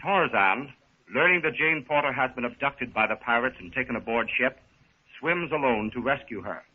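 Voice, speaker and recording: A man's voice: medium pitch, lively intonation, moderate pace, breathy edge. A man announcing a 1930s radio serial from a script, formal and dramatic. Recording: thin, dull 1930s radio recording.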